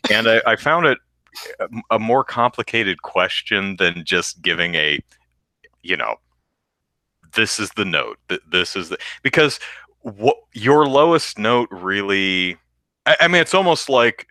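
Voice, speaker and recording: A man with a deep bass voice, co-hosting a podcast in casual chat, rambling with lots of restarts. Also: sharp tone, no room sound. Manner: rambling, self-interrupting with restarts, sings low demo notes. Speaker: podcast co-host.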